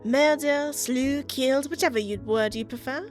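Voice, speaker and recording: A woman voicing a poised, detached female witch with a shaky put-on French accent that drifts toward British, speaking formally and calmly, with soft music behind. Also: high-pitched, normal speed, voice pushed up, natural voice. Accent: put-on French, slipping into British. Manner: calm, formal, coolly polite.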